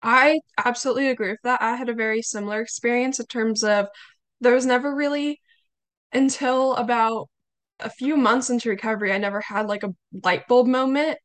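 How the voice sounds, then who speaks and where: A young woman hosting a podcast interview, her voice slightly hoarse, chatting casually with many fillers. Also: fairly high pitch, a little fast. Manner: chatty, warm hesitations with fillers. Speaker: podcast host.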